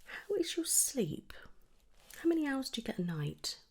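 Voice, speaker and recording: A soft-spoken woman in an ASMR medical roleplay, gently asking questions in a breathy voice that often slips towards a whisper. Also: sits high, big pitch swings. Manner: gentle, unhurried questioning with pauses for answers. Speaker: ASMR performer playing a trichologist.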